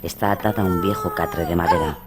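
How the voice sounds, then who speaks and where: A man's voice: low voice, fairly even intonation, quick pace, very noisy. A man giving dramatic scripted narration for a suspense and horror radio play.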